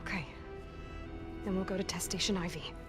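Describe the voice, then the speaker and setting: A woman's voice: lowish voice, steady tone, a little fast, over music, close to an everyday voice. An actress voicing a determined young female hunter and explorer in a video game, with a practical, purposeful delivery. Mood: focused, purposeful. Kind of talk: video game cutscene dialogue.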